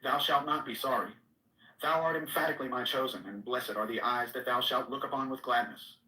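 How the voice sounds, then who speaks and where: A man's voice: mid-range voice, fairly even intonation, fairly quick, sharp tone. Man declaiming archaic scripture, echoey and distant. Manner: declamatory, solemn, archaic phrasing. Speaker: reader. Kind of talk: dramatic reading of archaic mystical scripture. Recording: echoey room, distant mic.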